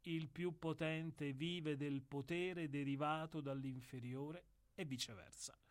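A man plays a brooding executioner in a radio drama, grave and measured, and deferential to the man he is about to kill. His voice sits a little high for a man. The delivery is solemn and philosophical. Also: fairly even intonation. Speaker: actor in a radio play.

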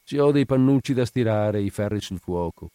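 A man's voice: fairly low pitch, lively intonation, moderate pace, dark tone, clean tone, no echo, clean studio sound, natural voice. A man voicing a pious, sighing widow, a homely and evasive neighbour woman in a story. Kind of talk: dramatic audiobook character reading.

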